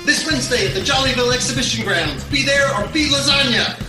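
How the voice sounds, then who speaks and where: An actor playing a hyped-up monster truck rally announcer in a parody commercial. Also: male voice, very high voice, fast. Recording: echoey, distant, over loud guitar music.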